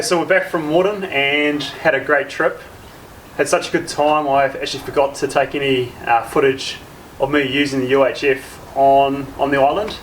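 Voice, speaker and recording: A man's voice: medium pitch, fairly bright tone. An Australian man with an informal, laid-back manner, talking casually through a hands-on product review. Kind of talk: casual hands-on vlog narration. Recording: clearly noisy amateur vlog audio.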